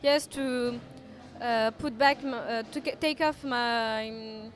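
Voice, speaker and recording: A woman's voice: a little high, fairly even intonation, slow pace. A young woman co-hosting student radio, chatty and giggly, searching for English words. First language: French. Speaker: radio co-host. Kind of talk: casual student radio chat.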